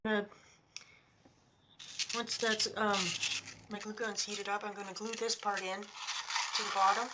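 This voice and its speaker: A soft-spoken woman with a quiet voice, chatting casually through a home crafting tutorial as a vlogger, recorded at home on her computer's built-in mic. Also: mid-range voice, background noise. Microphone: computer's built-in mic, no external mic for voice-over.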